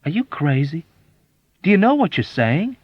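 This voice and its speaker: A man's voice: fairly high pitch, very animated, moderate pace, breathy edge, no echo, pitched above the performer's own voice. A man voicing an exasperated, frantic young male airman who talks urgently and emphatically. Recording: dull dry audiobook recording.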